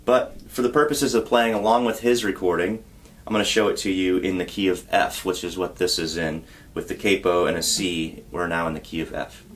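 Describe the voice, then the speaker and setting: A man teaching a song on guitar, explaining step by step in a patient, relaxed way and counting beats aloud. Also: sits low, fairly quick. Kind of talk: guitar tutorial. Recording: loud guitar under the voice. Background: acoustic guitar playing.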